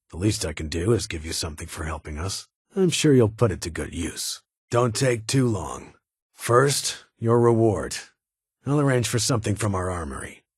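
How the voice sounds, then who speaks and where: An actor playing a stern, guarded military security leader in a video game, speaking formally in clipped, commanding phrases. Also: male voice, on the low side, husky, no room sound, clean studio sound. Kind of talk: video game character dialogue.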